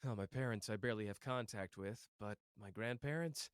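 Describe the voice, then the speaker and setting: A man's voice: lowish voice, fairly quick, clear, resonant tone, lightly acted. A cocky young sorcerer voiced by a man speaks with taunting, sardonic ease and loose slang. He is a protective clan head in an anime audio drama. His voice rings with long, hall-like reverb. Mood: subdued, tender. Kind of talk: character roleplay audio drama addressed to the listener.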